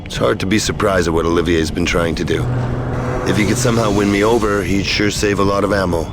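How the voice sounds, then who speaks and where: An actor playing a defiant, sardonic adventurer in a video game cutscene, with a wry, mocking way of talking. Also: male voice, low-pitched, fairly even intonation, fast talker, noisy background. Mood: wry, pondering. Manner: sarcastic, wry. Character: defiant, sardonic male adventurer, quick with mockery. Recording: clear music behind the voice.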